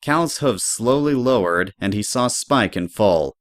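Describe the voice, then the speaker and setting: Female narrator, very low pitch, dry close sound, scripted storytelling. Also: expressive, unhurried, no echo.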